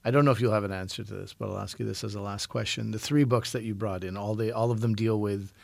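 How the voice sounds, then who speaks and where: A man's voice: lowish voice, fairly even intonation, quick pace, creaky, darkish tone, no room sound. A man from Canada hosts a radio books show, asking curious, probing questions with restarts and reading the outro.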